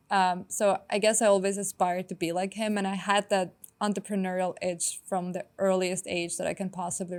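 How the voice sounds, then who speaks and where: A young woman from Estonia, a startup founder answering interview questions in a quick, upbeat way with many filler words. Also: lowish voice, darkish tone.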